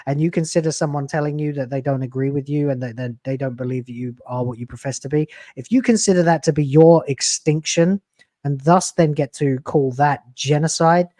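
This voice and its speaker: A man's voice: a little high, a little fast, warm tone, no room sound. A man in his late thirties, a YouTuber talking in a casual podcast chat, blunt and profane, with emphatic swings in delivery. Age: late 30s. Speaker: YouTuber guest.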